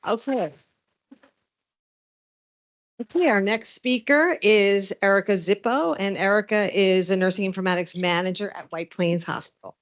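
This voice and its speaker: A woman's voice: medium pitch, normal speed. Woman moderator introducing conference speakers. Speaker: symposium moderator. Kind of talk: event moderation. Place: conference room.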